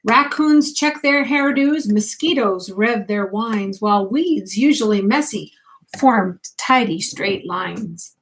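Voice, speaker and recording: An older woman, a grandmother, reading a picture book aloud at bedtime, expressively, with gentle questions to a child. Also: medium pitch, animated, slow, low-quality recording.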